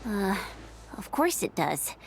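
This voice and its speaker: A woman voicing a spirited young female fighter in a video game, expressive and blunt, speaking informally. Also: mid-range voice, slow pace, gravelly, a little hiss or hum, heavily acted. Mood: hesitant, puzzled.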